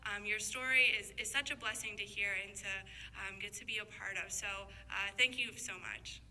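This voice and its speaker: Very bright-voiced woman hosting an interview. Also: mid-range voice, steady tone, moderate pace. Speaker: interview host. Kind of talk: conversational hosting.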